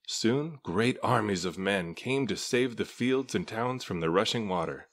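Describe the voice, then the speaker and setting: A man reading a children's story aloud as narrator, with measured, deliberate phrasing. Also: fairly low pitch, lively intonation, a bit bright. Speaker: storybook reader.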